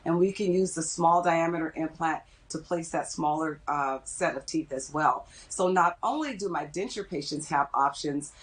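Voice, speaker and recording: A middle-aged woman speaking as a dentist in an interview, explaining things in a measured way. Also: low-pitched. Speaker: dentist interviewed as expert guest.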